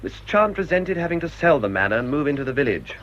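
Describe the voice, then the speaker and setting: An actor playing a bitter English village priest in a radio drama, with a dry, grumbling way of talking. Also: male voice, fairly high pitch, big pitch swings, quick pace, fairly bright tone. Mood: confiding. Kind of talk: British radio drama acting. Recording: dull, noisy old radio drama recording.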